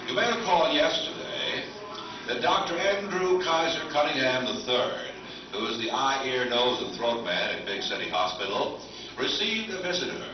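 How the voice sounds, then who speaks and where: A grandiose male announcer, acted by a man, presents an old-time radio serial spoof with pompous drama. The voice is distant and swims in hall echo, with clear music behind it. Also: medium pitch, dull audio, natural voice.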